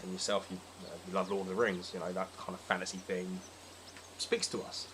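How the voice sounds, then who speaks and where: A man with a slightly low voice chats casually as a podcast guest. He talks at a brisk clip. There is audible noise behind him on the recording. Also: monotone. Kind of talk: casual film and game chat.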